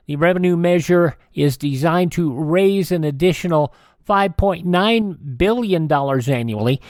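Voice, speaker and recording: A man hosting a radio show, reading old news items in a halting way with stumbles and self-corrections. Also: high-pitched, a little mellow, no room sound, clean studio sound.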